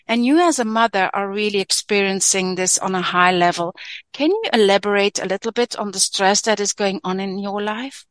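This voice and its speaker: A woman hosting a radio interview, effusive in her welcome and asking gentle, probing questions. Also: medium pitch, normal speed, no echo. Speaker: host.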